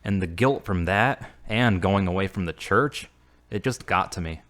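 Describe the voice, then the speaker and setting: A man playing an earnest, moody man returned to his faith, measured and subdued, in an audio drama. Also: sits low, a bit bright. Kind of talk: hobby audio drama acting.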